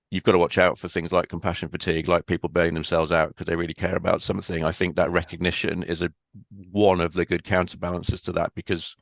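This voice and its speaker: A man speaking as a podcast guest in a casual interview, thoughtful and measured, often pausing on "um". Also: low voice, gravelly, dry recording. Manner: thoughtful, measured, with frequent ums.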